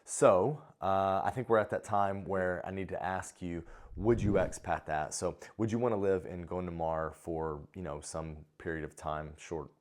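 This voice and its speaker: A young man co-hosting an expat travel vlog, talking in a relaxed, rambling way with fillers and restarts. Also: low voice, moderate pace, hardly any pauses. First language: English. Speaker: co-host of expat travel channel. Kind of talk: casual conversational chat.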